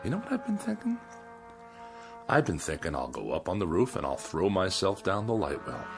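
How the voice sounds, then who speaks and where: An actor playing a bored, cruel immortal husband in a mid-century American TV drama, with a theatrical, scornful delivery and an American accent. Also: male voice, low voice, wildly swooping pitch, normal speed, heavily acted. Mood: restless, boastful. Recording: vintage TV soundtrack with music.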